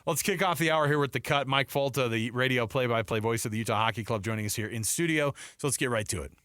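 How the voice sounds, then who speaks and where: An American man hosting live sports talk radio, casual, animated and slangy, on a close studio microphone. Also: medium pitch, fast talker, smooth. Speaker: sports radio show host.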